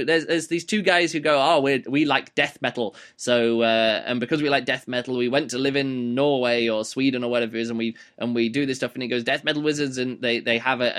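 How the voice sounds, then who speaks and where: A man with a slightly rough voice giving a casual, rambling spoken book review, with many fillers and restarts. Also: mid-range voice, a bit bright.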